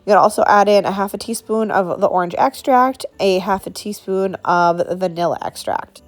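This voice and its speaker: A woman's voice: medium pitch, normal speed, soft background music. A woman in her thirties walks through a recipe in a loose, chatty way, full of little asides. Her voice sounds congested, as if she is getting over a sinus infection. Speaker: cooking channel host. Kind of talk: casual recipe walkthrough.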